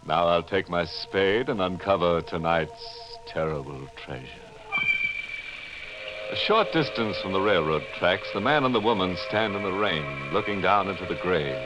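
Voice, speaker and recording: A gleefully morbid host of an old radio horror show. His low voice swoops theatrically and savors every word slowly. It comes through a muffled vintage broadcast with music. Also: lively intonation. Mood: ominous. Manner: theatrical, drawn-out, chuckling delivery. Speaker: host and narrator. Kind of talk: 1940s radio horror hosting.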